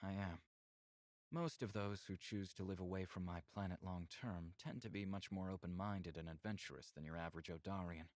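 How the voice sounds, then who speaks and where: Man voicing a low, measured male alien. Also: no echo, studio quality, natural voice. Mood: measured, candid. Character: open-minded, measured male alien, earnest and teasing.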